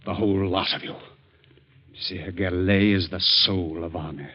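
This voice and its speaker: A man's voice: low-pitched, steady tone, normal speed. A man acting the part of a mild, absent-minded, principled scientist in old radio drama style, flustered and stammering in his protests. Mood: protesting, incredulous. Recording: muffled old-time radio recording.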